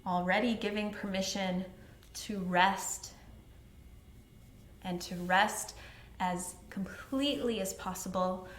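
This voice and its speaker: A woman teaching yoga nidra, guiding relaxation in a soothing, unhurried, gently instructing way. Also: medium pitch, steady tone, a little hiss or hum. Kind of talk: guided relaxation meditation. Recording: roomy echo with music behind. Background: meditation music, musical instrument.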